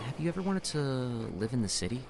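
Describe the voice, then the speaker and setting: Young theater guy played by a male actor, enthusiastic and expressive, lively swooping pitch, noisy ambient backdrop. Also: a little fast, gravelly. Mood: upbeat, eager. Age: young adult. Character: eager, talkative young city man in theater. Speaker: actor in an audio drama. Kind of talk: naturalistic audio drama dialogue.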